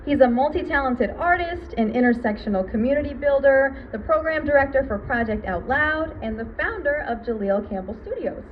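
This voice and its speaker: A woman hosting a public ceremony, giving an earnest, rousing prepared speech in measured phrases. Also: high-pitched, animated. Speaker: event MC. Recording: muffled event recording with background noise.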